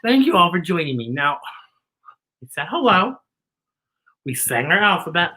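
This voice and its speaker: A woman's voice: lowish voice, very animated, moderate pace, pushed and bright, breathy edge. A woman hosting a children's story time in a playful, theatrical, giggly manner, teaching letters. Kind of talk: playful children's show presenting with letter lessons and singing.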